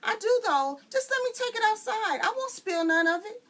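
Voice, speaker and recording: A woman voicing an excitable, indignant little girl in a storybook reading. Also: extremely high pitch, moderate pace, pitched above the performer's own voice, heavily acted. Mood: protesting.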